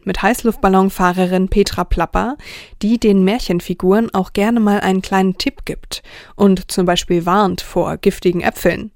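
A woman's voice: medium pitch, a little mellow, no echo, clean studio sound. A woman presenting a children's radio programme, giving a friendly scripted introduction to young listeners. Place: dry radio studio.

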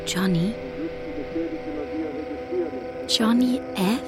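A woman's voice: fairly high pitch, measured pace, loud music behind the voice, close to an everyday voice. A woman acting a curious, dreamy girl in a German radio play. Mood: curious, teasing.

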